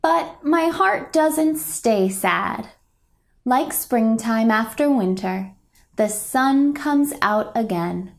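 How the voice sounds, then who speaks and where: A young woman reads a children's storybook aloud at a very slow, deliberate pace. Her voice sits a little high, and she delivers the lines gently and expressively, as for small listeners. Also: expressive, creaky. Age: young adult. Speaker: picture book reader. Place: indoors, a home room.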